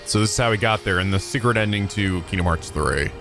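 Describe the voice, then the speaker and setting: A man's voice: on the low side, quick pace, music in the background. A man giving casual, profane, sarcastic commentary as he reacts to game cutscenes. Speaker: let's-play host reacting to cutscenes.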